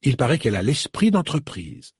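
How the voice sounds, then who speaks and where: A man's voice: mid-range voice, very animated, a little mellow, slightly rough, dry recording, clean studio sound. A man voicing a polite, composed middle-aged woman in an audiobook, in a slightly raised voice. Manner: courteous, measured.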